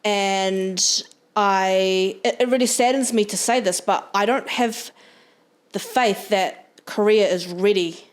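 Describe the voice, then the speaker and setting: A woman's voice: medium pitch, takes it slowly, smooth. A young adult woman with a New Zealand accent, a podcast guest talking frankly in casual chat, with the odd swear word.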